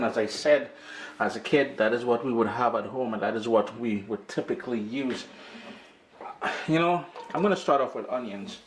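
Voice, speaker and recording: A middle-aged man with a Caribbean accent chats casually as he cooks, his voice a bit stuffy from allergies. His pitch sits in the ordinary middle range. Faint frying and sizzling noise sits under his voice. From Caribbean island. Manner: upbeat, chatty, slangy. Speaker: cooking show host. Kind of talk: casual cooking demonstration. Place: home kitchen.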